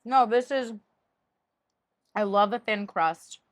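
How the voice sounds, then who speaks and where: A woman's voice: mid-range voice, slow, no echo, studio quality. A woman reviewing food as a guest, chatting enthusiastically and gushing outdoors on a summer day. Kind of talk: casual chat.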